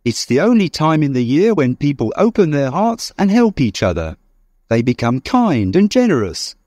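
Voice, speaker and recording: A man voicing a cheerful, warm-hearted young nephew in a storybook, happy-sounding, eager and earnest. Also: a little high, very animated, moderate pace, no echo, studio quality, voice pushed up. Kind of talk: audiobook character reading.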